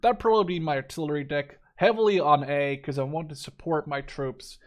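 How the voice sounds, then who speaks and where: A man's voice: on the high side, a little fast. A man in his twenties giving casual, enthusiastic and opinionated game review commentary, with some casual swearing. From Netherlands. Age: 20s. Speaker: gaming video creator.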